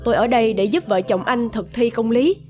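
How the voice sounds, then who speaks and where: Firm cartoon court official woman, acted, composed, formal, slightly high voice, clear music behind. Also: fairly quick, muffled recording, natural voice. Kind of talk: animated cartoon dubbing.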